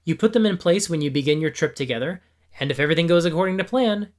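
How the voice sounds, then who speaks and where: A man hosting a tabletop game explainer, his voice slightly hoarse and a little gravelly. He talks in a fairly high register for a man, earnest and opinionated, and keeps leaning on examples to make each point. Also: lively intonation, quick pace. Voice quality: a bit gravelly. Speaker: tabletop game video host. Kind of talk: scripted explanatory video.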